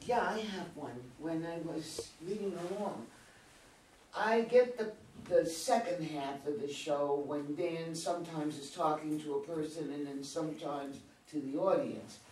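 A man with a slightly high voice speaks plainly at a script reading. He is heard from a distance in a very roomy space, with faint noise. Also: fairly even intonation, a little slow, darkish tone. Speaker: reader of stage directions.

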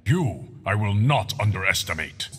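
A proud, formal warrior leader from a fighting game, fairly low in pitch. The speech is stately, over very loud background noise. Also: male voice, big pitch swings, moderate pace. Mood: proud, measured. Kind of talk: video game dramatic voice acting.

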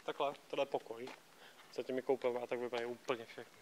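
A man's voice: mid-range voice, steady tone, noisy background. A man exploring alongside the vlogger, speaking in a matter-of-fact way from off camera. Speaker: exploring companion. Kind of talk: casual chat.

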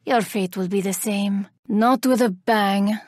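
An actress playing a vengeful, haughty elven woman warrior in a video game, speaking with deliberate, theatrical menace. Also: medium pitch, a little mellow, no room sound, studio quality, natural voice. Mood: haughty scorn. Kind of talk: video game character voice lines.

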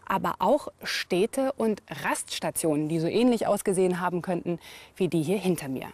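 A woman's voice: on the low side, creaky, darkish tone, no echo. A woman presenting a documentary on location as a reporter.

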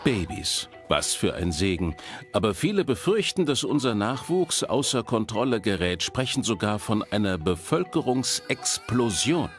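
A man's voice: fairly low pitch, very animated, normal speed. A man delivering scripted documentary narration as a presenter, addressing the audience directly. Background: background music.

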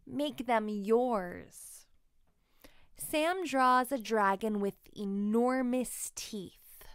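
A woman reading a children's story aloud expressively, with deliberate, emphatic phrasing. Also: a little high, fairly bright tone, clean tone, no echo, clean studio sound. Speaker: children's book narrator.

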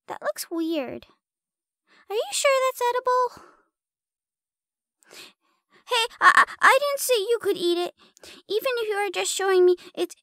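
A timid, excitable young spider girl for an audio roleplay. Her voice is extremely high, and she stammers in a flustered, naive way. The recording is dry and close. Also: no echo, exaggerated voice. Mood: curious, excited.

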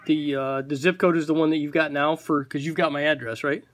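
A man answers a caller in a casual, matter-of-fact way, keeping replies short. His voice is a touch higher than average, recorded by a handheld phone in an office with faint background noise. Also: fairly even intonation, fairly quick, a bit bright. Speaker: call recipient playing along with a scam caller. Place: office, beside a desk phone. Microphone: handheld phone camera.

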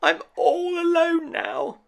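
A man's voice: extremely high pitch, steady tone, takes it slowly, creaky, fairly bright tone, pitched above the performer's own voice, heavily acted. A man voicing a small, trusting, anxious toy rabbit from a storybook in a plaintive, childlike manner. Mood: forlorn.

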